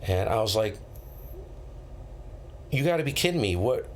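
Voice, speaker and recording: Low-pitched middle-aged American man chatting casually. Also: sing-song, normal speed, a little hiss or hum. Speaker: live stream host. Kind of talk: casual chat, rambling anecdotes.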